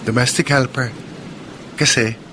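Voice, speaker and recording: A man's voice: medium pitch, steady tone, slow, bright, forceful tone, a little hiss or hum. An actor playing a sensitive, polite young man grieving his sister, in a Filipino radio drama with a Filipino accent. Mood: wistful, sorrowful. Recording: music bed behind the voice.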